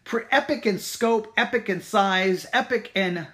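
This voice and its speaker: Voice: mid-range voice, measured pace, fairly bright tone. A church teacher giving an informal talk, emphatic and challenging, leaning on rhetorical questions and repeating phrases for emphasis. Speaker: Bible teacher at a Baptist church.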